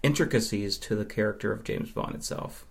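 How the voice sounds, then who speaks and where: A man from Nova Scotia, answering interview questions as a podcast guest in a measured, explanatory way with pauses. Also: lowish voice, creaky. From Nova Scotia, Canada. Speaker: podcast guest, film fan.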